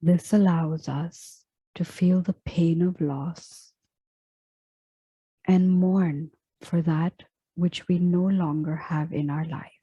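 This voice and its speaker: A woman guides a meditation with a very dark, mellow voice. Her delivery is very slow and hushed, with long pauses between gentle phrases. The tone is breathy and soft, like a script read with care. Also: fairly low pitch, steady tone, dry recording, muffled recording. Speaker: meditation guide and yoga teacher.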